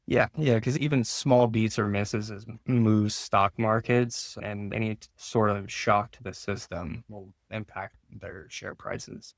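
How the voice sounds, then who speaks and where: Man explaining in an even, low voice. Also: takes it slowly, creaky, hardly any pauses, no echo, studio quality. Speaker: guest finance expert. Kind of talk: explanatory interview answers.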